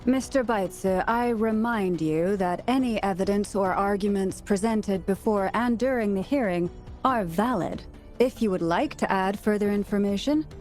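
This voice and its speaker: A woman's voice: mid-range voice, darkish tone, lightly acted. Stern, composed female magistrate voiced by a woman. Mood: firm. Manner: formal, authoritative, measured.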